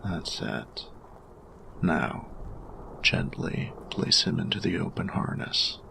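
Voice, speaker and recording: Reserved steampunk inventor for audio drama, played by a man: formal, heavy fry, murmured yet crisp-edged and bright, faint background noise. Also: animated, heavily acted, low-quality recording. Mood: focused, quietly approving. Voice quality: gravelly, breathy low murmur. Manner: formal, measured, deliberate phrasing. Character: grumpy male steampunk artificer, formal, reserved, quietly grieving. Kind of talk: scripted audio roleplay addressed to the listener.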